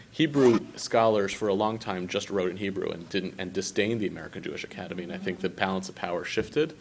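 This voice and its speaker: A man lecturing at a learning session, answering questions in a halting, thoughtful way and rephrasing as he goes. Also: low-pitched, gravelly, a little hiss or hum. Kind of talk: answering audience questions at a seminar.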